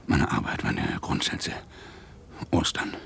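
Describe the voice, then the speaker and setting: An actor playing a hard-boiled, shaken New York police detective in a radio crime drama, weary and angry, in terse, measured phrases. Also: male voice, a bit bright. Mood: anguished, angry. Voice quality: deep, gravelly. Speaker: actor, narrator and lead. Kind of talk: radio crime drama, intimate first-person monologue and dialogue.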